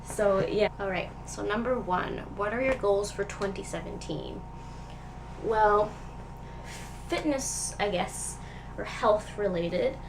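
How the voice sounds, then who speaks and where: A young woman talking straight to her viewers in a loose, rambling way, full of ums and likes. Her voice sounds stuffy and nasal from a cold. A steady hiss of background noise sits under the recording. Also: mid-range voice, slow. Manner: rambling, filler-heavy, chatty. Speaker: vlogger. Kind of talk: casual chat.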